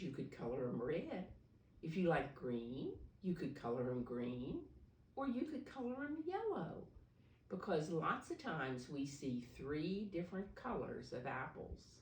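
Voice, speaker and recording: A woman reading a picture book aloud to small children, pausing to ask simple questions and explain. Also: low-pitched, a little hiss or hum. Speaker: preschool storybook reader, teacher figure. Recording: roomy echo, distant mic.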